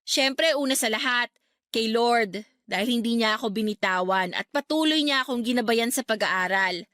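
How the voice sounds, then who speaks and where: A woman's voice: on the high side, moderate pace, fairly bright tone, no room sound, studio quality, natural voice. A young Filipino woman acting in a radio drama as a devoted graduate grieving her late best friend, earnest and sentimental. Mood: grateful, heartfelt. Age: young adult.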